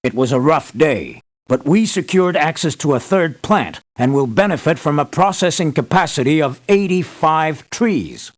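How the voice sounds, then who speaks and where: An actor playing an earnest, measured male leader giving formal, deliberate briefings in a scripted video game. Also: a little high, animated, close to an everyday voice. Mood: satisfied, formal. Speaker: mission briefer.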